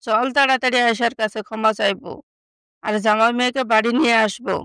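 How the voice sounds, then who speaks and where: A woman's voice: fairly high pitch, fairly even intonation, fast talker, pushed and bright, clear, resonant tone, no echo. A woman playing a regretful older village mother in a moral story.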